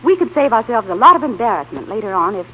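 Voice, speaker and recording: A woman playing a sensible, affectionate young woman in love, gentle but firm, in 1930s radio acting style. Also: on the high side, sing-song, fast. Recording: muffled, hissy 1930s radio broadcast.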